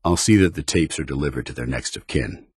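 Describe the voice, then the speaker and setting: An actor playing a stern, honor-bound soldier in a video game, speaking in a formal, commanding and earnest military way. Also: male voice, rumbling low, brisk, husky, no room sound, clean studio sound, heavily acted. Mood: solemn. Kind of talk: video game companion dialogue.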